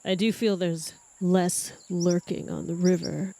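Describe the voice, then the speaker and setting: A woman's voice: on the low side, steady tone, unhurried, gravelly, dark tone, a little hiss or hum. A woman playing a tabletop game in character, with a wry, playful way of talking. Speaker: player.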